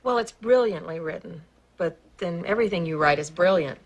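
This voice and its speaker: A woman's voice: lowish voice, a little fast, husky. An actress playing an obsessive, volatile woman who talks primly, shuns swearing and flips into screaming rage. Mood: prim, coy. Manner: prim and sugary, refuses to swear, snaps into screaming rage. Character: obsessive volatile middle-aged woman fan, prim and menacing. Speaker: film character. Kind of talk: film drama acting.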